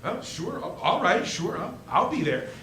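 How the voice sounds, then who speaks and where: An actor playing an earnest, flustered, hot-headed young husband in a live 1940s-style radio play, with a stammering, halting delivery. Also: male voice, sits high, big pitch swings, sharp tone, heavily acted. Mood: flustered, apologetic. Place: live stage performance. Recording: roomy stage sound, faint noise.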